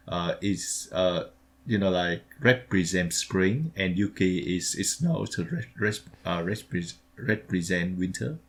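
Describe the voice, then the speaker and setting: A man's voice: sits low, animated, slow pace. A man co-hosting a podcast, chatting casually and agreeing often, with non-native grammar. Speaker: podcast co-host. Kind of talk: casual anime discussion.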